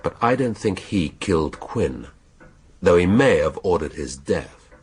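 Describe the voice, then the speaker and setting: An actor playing a shrewd British police inspector in a radio drama, questioning in a measured, drily needling way. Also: male voice, sits low, very animated, slightly rough, full character voice. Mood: firm, explaining.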